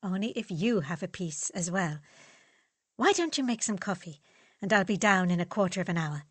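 A woman voicing a polite, earnest young German man who teaches, speaking in a measured way. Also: on the low side, expressive, no echo.

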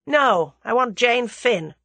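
A woman's voice: on the high side, expressive, slow, vocal fry, a bit bright, dry recording, pitched above the performer's own voice, full character voice. A woman voicing a brash, menacing young American millionaire with a blunt, slangy drawl in an audiobook.

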